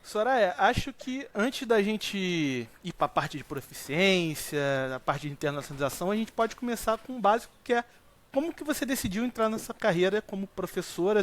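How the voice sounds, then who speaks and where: Male podcast host, Brazilian accent, notably high voice, conversational presenting style. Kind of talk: podcast introduction and interviewing.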